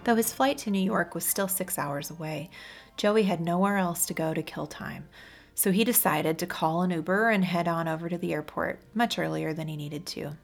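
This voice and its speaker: A woman's voice: fairly low pitch, moderate pace, vocal fry, soft background music. A woman reading a scripted story as a narrator. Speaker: podcast co-host reading an audiobook chapter.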